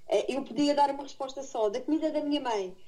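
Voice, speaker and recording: A woman's voice: high voice, quick pace, a crowd in the background. A middle-aged woman from Madeira with a Portuguese accent, chatting as an interview guest and correcting herself as she tells her stories. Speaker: interview guest, expatriate aviation instructor. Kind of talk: casual conversational interview answers.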